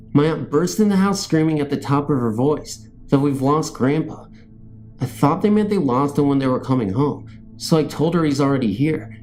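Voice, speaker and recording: A man's voice: a little high, darkish tone. A man reading scary stories as a narrator, in a scripted storytelling style.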